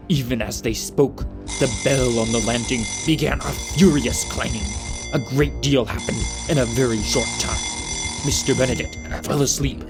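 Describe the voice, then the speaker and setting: A man's voice: medium pitch, rough recording. A man narrating a scripted story for an audio drama. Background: music.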